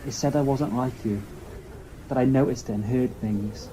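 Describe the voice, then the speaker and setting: A man's voice: mid-range voice, normal speed, dark tone, close to an everyday voice. Wary young man in an acted role, noisy background. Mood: shaken. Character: wary, anxious young male companion, loyal but tempted. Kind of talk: fan audio drama acting.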